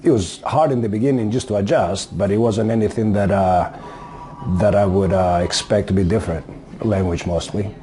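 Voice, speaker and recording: A man's voice: on the low side, fairly even intonation, moderate pace, warm tone, a little hiss or hum. Greek-born man in his sixties, hesitant. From Greece. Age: 60s. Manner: hesitant, many fillers. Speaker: interviewee. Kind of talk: casual interview answers.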